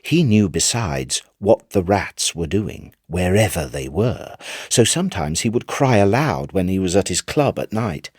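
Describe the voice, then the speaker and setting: A man narrating a literary tale in an expressive, theatrical storytelling manner. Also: deep voice, unhurried, breathy edge, no echo, clean studio sound. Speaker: narrator, audiobook reader. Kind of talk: dramatic scripted literary narration.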